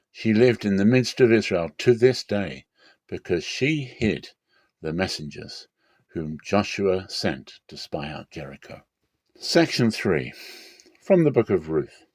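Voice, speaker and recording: A man giving a dramatic, solemn and deliberate narration of scripture as a reader. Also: deep voice, darkish tone, no echo.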